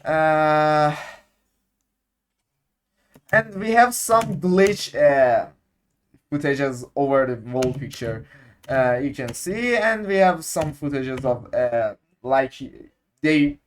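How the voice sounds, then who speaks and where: Man, slow halting delivery, lots of fillers, somewhat high voice, talking through an editing tutorial. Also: expressive, slight background noise. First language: Persian. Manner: halting, many fillers, searching for English words. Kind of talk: unscripted screen-recorded tutorial.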